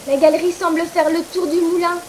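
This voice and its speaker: A woman's voice: extremely high pitch, flat delivery, slight background noise. A woman playing a sarcastic young sidekick. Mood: urgent. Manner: quick, sarcastic, sharp. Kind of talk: amateur science-fiction audio drama acting.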